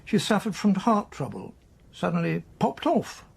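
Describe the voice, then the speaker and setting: An actor playing a brisk, wry British colonel in a radio drama, with a British accent and clipped, telegraphic sentences. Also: male voice, sits high, very animated, vocal fry, husky, full character voice. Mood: brisk, suspicious.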